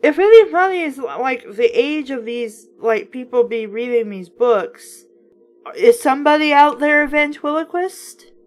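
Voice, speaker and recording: A woman with a fairly high voice reads a children's book aloud with some music behind her. She is informal and chatty, dropping in wry, skeptical asides as host and reviewer. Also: animated, unhurried. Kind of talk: casual read-aloud with offhand commentary.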